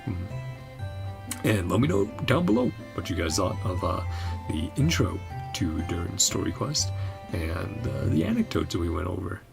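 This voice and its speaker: A man with a low, creaky voice and lively intonation hosts a gaming reaction video. He talks casually and hesitantly, wandering between thoughts and often chuckling softly as he goes. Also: a little slow, over music. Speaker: gaming reaction channel host. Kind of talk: casual chat, game reaction commentary.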